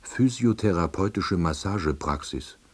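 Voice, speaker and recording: A man playing a subdued, baffled middle-aged East German salesman, narrating a radio play in a dry, husky voice. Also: sits low, expressive, unhurried. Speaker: narrator and lead character.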